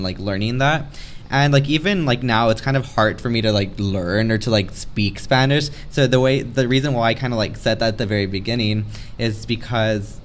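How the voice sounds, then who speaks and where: A young man from the Rio Grande Valley in Texas on a student panel, talking in a rambling way with frequent "like" fillers. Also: lowish voice. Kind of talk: casual panel discussion. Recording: faint background noise.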